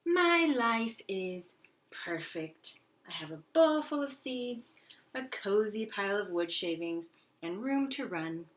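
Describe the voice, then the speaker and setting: A woman's voice: medium pitch, animated, slow pace. A woman voicing a self-satisfied little male pet hamster from a children's storybook, in a boastful, theatrical, exclamatory way. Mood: smug, delighted. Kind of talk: children's storybook read-aloud.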